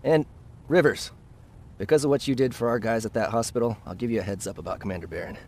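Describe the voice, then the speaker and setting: A businesslike male officer in a war game. He delivers orders briskly at a medium pitch, with plain authority. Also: creaky, darkish tone. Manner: matter-of-fact, authoritative. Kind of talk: dramatic video game acting.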